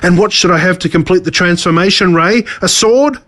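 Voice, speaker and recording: A man acts out a smug storybook villain who rules over ants. The voice is pitched high, well above an everyday male voice, and delivers threats with pompous, gloating drama. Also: fairly quick, fairly bright tone.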